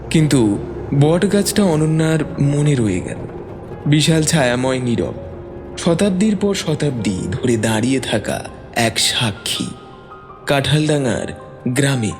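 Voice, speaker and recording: Male story narrator, dramatic, highly varied intonation, reverberant, music underneath.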